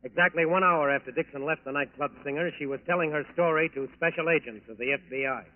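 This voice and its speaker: A man delivers dramatic scripted narration in 1940s radio style. His fairly high voice is emphatic and portentous, heard through a thin, band-limited old broadcast. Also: fast talker. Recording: tinny 1940s radio recording.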